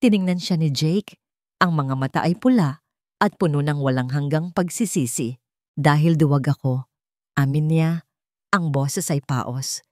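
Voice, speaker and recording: A woman telling a dramatic love story in a slow, solemn storytelling manner. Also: sits low, darkish tone, dry recording, studio quality. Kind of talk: scripted dramatic narration.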